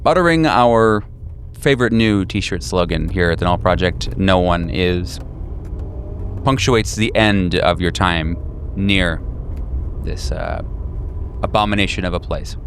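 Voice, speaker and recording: A man's voice: low-pitched, measured pace, a little hiss or hum. A man narrating a horror tabletop game as game master, in ornate, literary phrasing.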